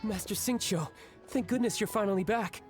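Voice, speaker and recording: An actor playing an anxious, deferential guild clerk in a video game. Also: male voice, high voice, moderate pace, over music, lightly acted.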